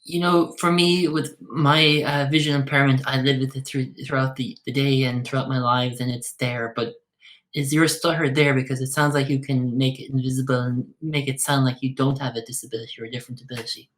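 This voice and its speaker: A man hosting an interview, asking calm, brief questions. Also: mid-range voice, fairly quick. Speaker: interview host.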